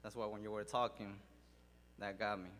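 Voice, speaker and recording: A man who grew up in Mexico, telling his life story in a halting speech full of fillers and pauses. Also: lowish voice, flat delivery, fairly bright tone, in a large hall, a little hiss or hum. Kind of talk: personal testimony speech. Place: large hall at a public event.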